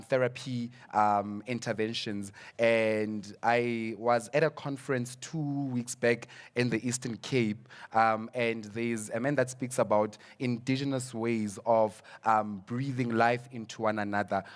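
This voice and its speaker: A young South African man, a psychology student, speaking earnestly on a panel, repeating words as he searches for them. English is not his first language. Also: medium pitch. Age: young adult. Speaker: student representative. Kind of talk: panel discussion.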